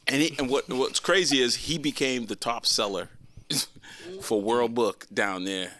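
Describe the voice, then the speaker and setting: A man in his sixties from the Boston area, telling stories from his childhood in a relaxed way on a panel, chuckling often. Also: mid-range voice, unhurried. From Boston area, Massachusetts. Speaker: panel guest. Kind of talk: casual storytelling.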